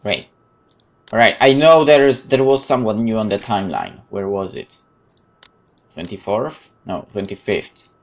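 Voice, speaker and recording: A man hosting a let's play, reading narration aloud in a measured, dramatic way with casual commentary. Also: on the low side, animated.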